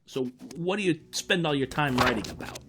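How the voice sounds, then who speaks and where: An actor playing a talkative, world-weary security guard in an audio drama, with a folksy, plain-spoken manner. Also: male voice, on the high side, expressive, brisk, loud music behind the voice, close to an everyday voice. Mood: wry, teasing. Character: world-weary, talkative male night-shift department store security guard.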